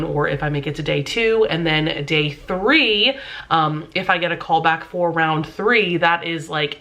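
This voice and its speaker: A woman's voice: on the low side, bright, forceful tone. A young woman with a stuffy, congested nose, chatting casually to the camera as a vlogger and filling her talk with "um" and "like". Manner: chatty, many fillers like "um" and "like".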